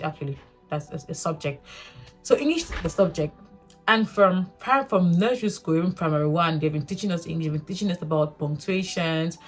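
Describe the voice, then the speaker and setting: A young woman from Africa with a local mother tongue, talking earnestly to camera in a rambling, self-correcting way. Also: on the low side. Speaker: vlogger. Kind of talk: casual reflective talk to camera.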